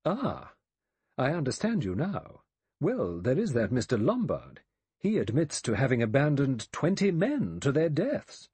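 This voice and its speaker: A man's voice: mid-range voice, wildly swooping pitch, unhurried, darkish tone, breathy edge, no echo, voice pushed up, exaggerated voice. A man voicing a righteous elderly English spinster in an audiobook, precise, dry and sanctimonious in manner. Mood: sharp. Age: older.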